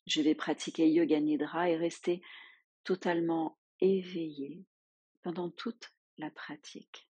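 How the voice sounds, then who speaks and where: A woman leading a guided yoga nidra relaxation as a teacher, giving soothing, unhurried instructions with long pauses. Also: low-pitched, steady tone, mellow, breathy edge, dry recording, lo-fi sound.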